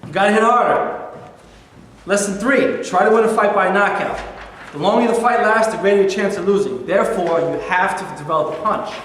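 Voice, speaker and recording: High-voiced boxing trainer played by a man, echoey. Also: brisk, fairly bright tone. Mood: instructing. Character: tough, encouraging male boxing trainer and manager. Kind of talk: staged play reading. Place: mid-sized rehearsal studio, far camera. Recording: echoey hall, faint noise.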